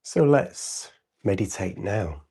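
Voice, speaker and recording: A man with a low, mellow voice guides a meditation in short, soothing phrases. His tone is husky and soft-edged, and he leaves long gaps as he gently instructs the listener. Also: lively intonation. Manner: spontaneous, unscripted, with long pauses between short phrases. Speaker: meditation teacher hosting a livestream.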